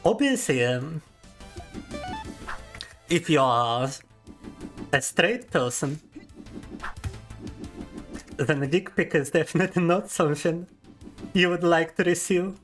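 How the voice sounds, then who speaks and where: A man's voice: fairly high pitch, lively intonation, slow pace, pauses often, faint music behind. Playful young man. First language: Russian. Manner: playful and teasing, reads chat aloud and laughs often. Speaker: VTuber streamer. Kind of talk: casual livestream chat.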